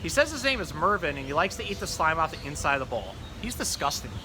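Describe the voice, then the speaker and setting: A fussy, put-upon pet goldfish read by a man tells his diary in a storybook style. The voice sits a little high, with dry grumbling. Clear ambient noise runs behind it. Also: very animated, quick pace. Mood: wary, disgusted. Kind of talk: children's storybook read-aloud.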